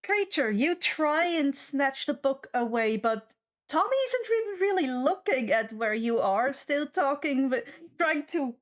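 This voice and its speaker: High-pitched woman running a tabletop game. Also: animated, measured pace. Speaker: game master. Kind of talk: narrating a tabletop role-playing game.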